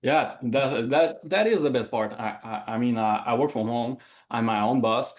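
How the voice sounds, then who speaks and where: A man from Quebec with a Quebec French accent, a native French speaker, answering interview questions in English and often pausing to search for words. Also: medium pitch, slight background noise. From Quebec, Canada. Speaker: interview guest. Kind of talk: casual interview.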